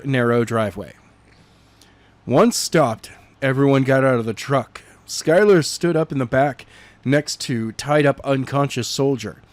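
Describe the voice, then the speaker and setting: Man reading a novel aloud, halting. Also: medium pitch, dry recording. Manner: halting, with frequent repeats and restarts. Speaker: reader of a novel chapter. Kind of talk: unpolished read-aloud narration.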